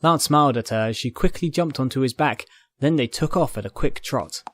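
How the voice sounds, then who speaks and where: A man's voice: medium pitch, a little fast, fairly bright tone, a little hiss or hum. A man reading a story aloud as a scripted, dramatic narration. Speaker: narrator, fan fiction reader.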